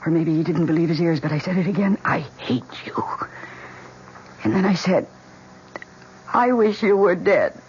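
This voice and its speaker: A dull, hissy old radio recording carries an actress playing an anxious young wife with a dark secret. Her delivery is intimate and emotional, with hesitations, in the manner of seventies radio drama. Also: lowish voice, animated, normal speed, pauses often, natural voice. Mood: haunted, intense. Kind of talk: 1970s radio drama acting.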